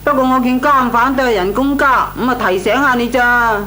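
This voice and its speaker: A woman's voice: a little high, fairly quick, sharp tone. A woman playing a frank, self-excusing housewife in an old Hong Kong Cantonese radio comedy, blunt and protesting. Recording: old radio recording, hiss and hum.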